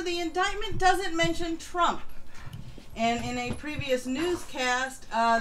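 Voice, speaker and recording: A woman hosting a live stream, giving an informal, halting news rundown. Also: fairly high pitch, fairly bright tone, slight background noise, low-quality recording.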